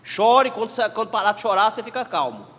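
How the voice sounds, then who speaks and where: A man with a Pernambuco accent preaching a sermon as pastor, emphatic and shifting between loud exhortation and quiet asides. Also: sits high, very animated, fast. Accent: Pernambuco Portuguese. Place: church sanctuary. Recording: long church reverb.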